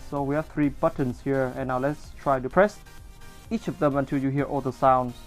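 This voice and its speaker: A man's voice: medium pitch, moderate pace, soft background music. A man giving a casual product demonstration as a toy reviewer, explaining things step by step.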